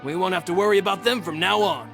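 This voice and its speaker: A man's voice: high-pitched, quick pace, creaky, music in the background. An actor playing a loyal, respectful male subordinate in a video game, earnest and deferential. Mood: reporting, reassuring. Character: loyal, respectful young male henchman reporting to boss.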